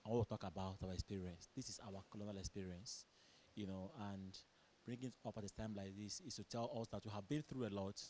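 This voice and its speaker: A man's voice: lowish voice, fairly even intonation, fast talker, dark tone. A man with a Nigerian accent, a theatre director giving earnest interview answers in declarative, repeating phrases. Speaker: stage director and producer. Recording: clear background noise, event ambience.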